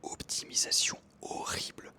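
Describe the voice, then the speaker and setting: An ASMR creator whispering a casual game commentary close to the microphone, pausing and correcting themselves as they go. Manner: whispering throughout, hesitant pauses with frequent self-corrections.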